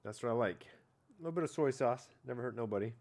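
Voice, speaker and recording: A man's voice: mid-range voice, fast talker, a little mellow. A man in his thirties casually talking through a fish tasting on an outdoor patio at night, rambling and hedging with filler words, recorded on a head-mounted camera. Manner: laid-back, rambling, hedging with "I don't know" and "like". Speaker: fishing vlogger. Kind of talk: casual catch-and-cook vlog.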